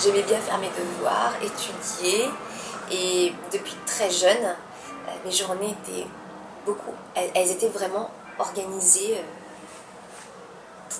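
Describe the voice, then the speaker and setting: A woman's voice: fairly low pitch, steady tone, slow pace, music in the background. A young woman, a dancer answering interview questions hesitantly, with many fillers and restarts. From Italy. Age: young adult. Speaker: professional dancer, interview guest. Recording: roomy distant mic with background noise.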